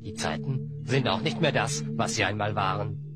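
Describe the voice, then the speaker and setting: A man playing a gruff, grumbling slave trader who haggles, in a fantasy audio drama. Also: lowish voice, moderate pace, loud music behind the voice, lightly acted.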